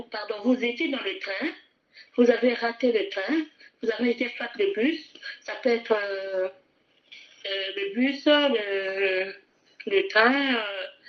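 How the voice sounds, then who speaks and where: Fervent woman preacher, higher voice. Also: highs cut off. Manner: fervent, repetitive preaching with calls to repeat after her. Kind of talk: sermon.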